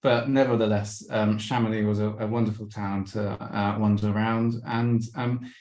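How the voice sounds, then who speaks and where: A man's voice: fairly low pitch, flat delivery, normal speed, darkish tone, reverberant. A man giving a slideshow travel talk on a video call, hesitant with many ums and restarts, and losing his voice. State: losing his voice, clearing throat. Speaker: webinar presenter.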